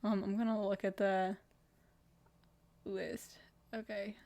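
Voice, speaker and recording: A woman's voice: mid-range voice, little pitch movement, slow pace, warm tone. A young woman in her twenties who grew up in Kenya, hosting a casual chat podcast and rambling with lots of fillers and giggles. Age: 20s. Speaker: podcast host.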